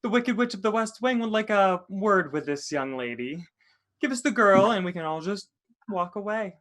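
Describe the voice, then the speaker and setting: A performer voicing a smooth-talking, mock-polite henchman who threatens with false courtesy, in a livestreamed script reading. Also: medium pitch, animated, normal speed, fairly bright tone, close to an everyday voice. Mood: silky menacing.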